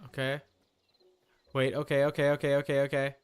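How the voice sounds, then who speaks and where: A man's voice: mid-range voice, completely flat delivery, a little fast, clean tone. A man giving casual live commentary as a game streamer, muttering short, casually profane reactions.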